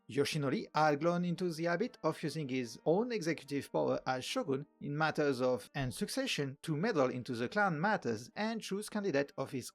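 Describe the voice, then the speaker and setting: Animated French-accented man narrating history. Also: fairly high pitch, vocal fry. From France. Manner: wry, joking asides. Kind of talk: scripted narration.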